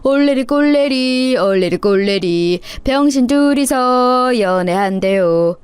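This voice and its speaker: A woman's voice: on the high side, animated, slow pace, clean tone, no echo. A woman voicing a cruel, cocky schoolboy bully in a story, with taunting, sing-song jeers. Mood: mocking, gleeful.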